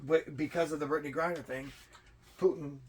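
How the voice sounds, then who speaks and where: A man's voice: on the high side, fairly even intonation, brisk. A man hosting a wrestling show, joking and rambling through a casual rundown with stammered restarts. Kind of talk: casual chat and rundown of rankings. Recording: clear background room noise.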